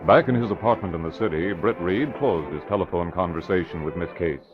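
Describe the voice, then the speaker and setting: A man's voice: low voice, brisk. A man narrating a scripted 1940s radio drama. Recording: dull old radio recording. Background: music.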